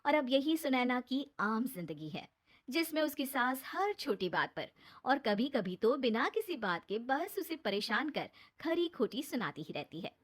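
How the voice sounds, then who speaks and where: A woman's voice: sits high, sing-song, measured pace. A woman narrating a scripted family moral story as the storyteller.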